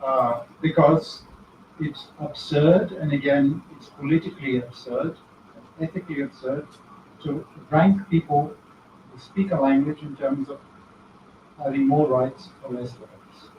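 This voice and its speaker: A man giving an academic talk, speaking reflectively and with irony, pausing often and restarting phrases. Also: on the high side, a little hiss or hum. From former British colony. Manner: reflective, ironic, many pauses and restarts. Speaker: guest lecturer. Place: lecture hall. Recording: echoey hall, distant mic, dull.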